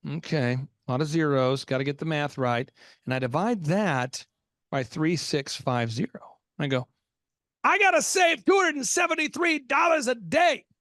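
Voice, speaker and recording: High, dry-sounding male host, animated, preachy, punchy repetition. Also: normal speed, dry recording. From Oklahoma. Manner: animated, preachy, repeats punchlines with sudden shouts. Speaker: podcast host. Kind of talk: energetic business podcast talk.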